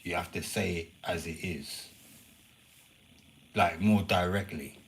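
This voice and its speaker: A man's voice: low voice, slow pace. A man casually chatting and rambling to his viewers on a live stream, swearing now and then. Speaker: livestreamer.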